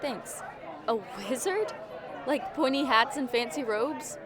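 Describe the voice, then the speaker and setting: A woman playing a skeptical, quick-witted adventurer in an audio drama. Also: sits high, over a noisy crowd.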